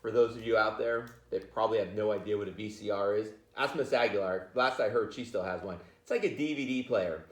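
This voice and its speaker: A man reading a children's picture book aloud in an informal, jokey, expressive way, with chatty asides. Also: lowish voice, brisk, hardly any pauses, reverberant.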